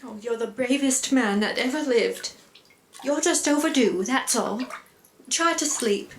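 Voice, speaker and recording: A woman's voice: high voice, a little slow. An actress playing a devout, tender, fretful woman in a live radio play, with an earnest, theatrical delivery. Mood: tender, solemn. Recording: echoey live stage, clear background noise.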